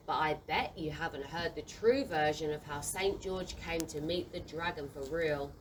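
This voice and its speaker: A woman narrating a children's audio play as a theatrical storyteller. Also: fairly low pitch. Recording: distant mic, faint room noise.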